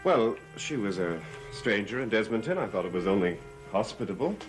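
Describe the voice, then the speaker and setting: Brooding, cursed gentleman, earnest gothic soap delivery, very animated pitch, music underneath. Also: a little fast, poor audio. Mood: guarded. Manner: earnest, dramatic, pleading. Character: haunted, brooding, cursed master of a gothic mansion. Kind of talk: gothic soap opera acting.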